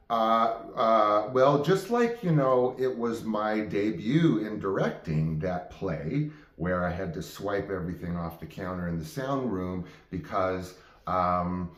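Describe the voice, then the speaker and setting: A man's voice: fairly low pitch, roomy sound. A man hosting his own show, telling stories off the cuff in a casual, rambling way that often breaks off and restarts. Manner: rambling, self-interrupting. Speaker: host of own game show. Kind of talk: casual improvised storytelling to viewers.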